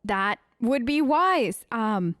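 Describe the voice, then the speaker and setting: Casting: woman let's player, higher-pitched, improvising in character, chatty, halting, overly polite, dry studio-close sound. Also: big pitch swings, fairly bright tone, no echo. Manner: chatty, hesitant, awkwardly polite. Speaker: let's play streamer. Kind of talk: improvised in-character game role play.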